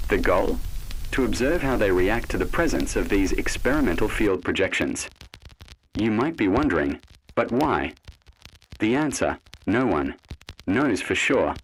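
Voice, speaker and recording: A man narrating a scripted analog horror story in an ominous, solemn, deliberate way with dramatic pauses. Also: on the low side, a little hiss or hum, low-quality recording.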